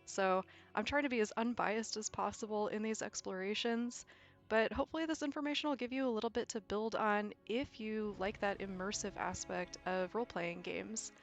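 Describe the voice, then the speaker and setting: A woman's voice: medium pitch, fairly even intonation, moderate pace. A woman with a slightly scratchy, allergy-affected voice narrates an explanatory video essay in an articulate, conversational way. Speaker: video creator, game lore explainer. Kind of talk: scripted video essay narration with casual asides. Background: background music.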